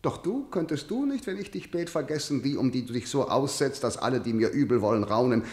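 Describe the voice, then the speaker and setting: A man's voice: mid-range voice, expressive, fairly quick, a little mellow, reverberant, natural voice. An actor playing a harsh, vengeful old king who declaims classical verse in a commanding manner for radio drama. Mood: suspicious, bitter. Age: older.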